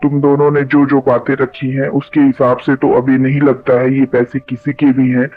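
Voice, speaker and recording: A man plays a stern village headman in a cartoon moral tale. His delivery is authoritative and very even, the sound thin and lo-fi over faint music. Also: mid-range voice, normal speed, lightly acted. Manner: authoritative, scolding. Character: stern male village headman judging disputes.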